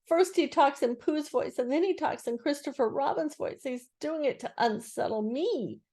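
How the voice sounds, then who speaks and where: Storybook piglet played by a woman: small, anxious, easily flustered, squeaky high voice. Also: dark tone. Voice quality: squeaky, sometimes husky. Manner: anxious, hurried, exclaiming.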